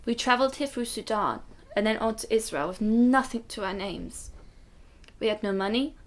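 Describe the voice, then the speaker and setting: A woman's voice: a little high, animated, moderate pace, lightly acted. Woman playing earnest protective grandmother recounting hardship. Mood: solemn, reflective. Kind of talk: radio drama acting.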